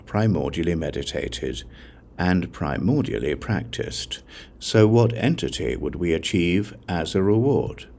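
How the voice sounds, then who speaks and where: A man narrating an audiobook of scripture, reading scripted text in a measured, solemn way, phrase by phrase. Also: low voice, a little mellow.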